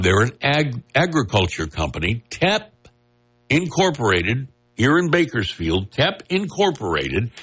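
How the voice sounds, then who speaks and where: A man's voice: medium pitch, a bit bright, slightly rough. A man hosting a radio talk show, chatting casually in a nasal, joking, rambling way. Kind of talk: casual on-air chat. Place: radio studio. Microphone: studio mic with headphones.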